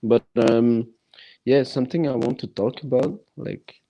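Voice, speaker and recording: A man who speaks English as a non-native language, hosting a casual podcast chat and talking haltingly with many restarts. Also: medium pitch, fairly even intonation, warm tone, low-quality recording. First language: neither English nor French.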